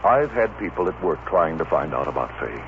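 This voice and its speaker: Radio leading man: impassioned, commanding, very expressive, thin noisy vintage sound. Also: very deep voice, fairly quick, vocal fry, phone-quality sound, exaggerated voice. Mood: urgent, frustrated. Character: ardent, protective, masterful gentleman lover. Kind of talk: old-time radio soap opera acting.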